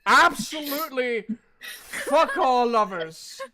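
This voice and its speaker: A man voicing a boastful, teasing bard with a loud, brash manner in a tabletop game. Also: extremely high pitch, animated, slow pace, crowd noise, voice pushed up, heavily acted.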